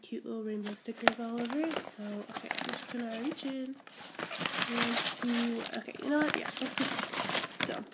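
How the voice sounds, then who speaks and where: A woman's voice: fairly high pitch, very noisy. Giggly young woman with a stuffy cold. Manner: rambling, giggly, gushing over small items. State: congested with a cold. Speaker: squishy collector vlogger. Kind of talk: casual unboxing chat. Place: indoors at home, camera pointed at a table.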